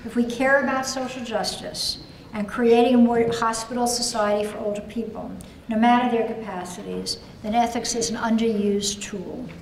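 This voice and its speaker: A woman's voice: on the high side, fairly even intonation, normal speed. An older woman giving a keynote lecture and answering audience questions, thoughtful and hesitant with many fillers. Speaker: keynote speaker. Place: large conference hall. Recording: echoey hall, voice from a distance, noisy.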